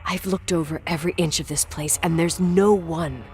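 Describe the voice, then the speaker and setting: A woman's voice: fairly low pitch, steady tone, normal speed, a little mellow, soft background music, close to an everyday voice. An actress playing a strong-willed, defiant young woman in a video game, with an intense, emphatic, sweary delivery. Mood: agitated, insistent. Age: young adult. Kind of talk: naturalistic video game voice acting.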